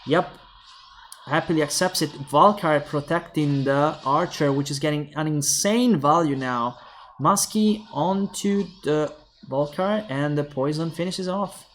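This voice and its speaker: A young man with an Italian accent casting a mobile game tournament alone, calling plays quickly and thinking aloud to viewers. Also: fairly high pitch, a little hiss or hum. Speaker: esports caster. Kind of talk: solo esports play-by-play commentary.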